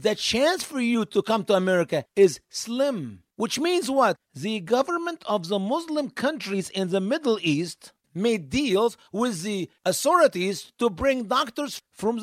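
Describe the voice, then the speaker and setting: A man's voice: high-pitched, no room sound, studio quality. A man from Egypt, giving an emphatic monologue, posing rhetorical questions and answering them himself. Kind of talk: monologue commentary.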